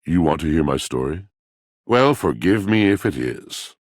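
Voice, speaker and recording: An actor playing a brooding, melancholy washing machine in a video game, a wounded poet with a gravelly rumble and florid, pompous, theatrical delivery. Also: male voice, sing-song, measured pace, no echo, studio quality, heavily acted. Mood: gloomy, courteous. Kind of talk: video game character voice acting.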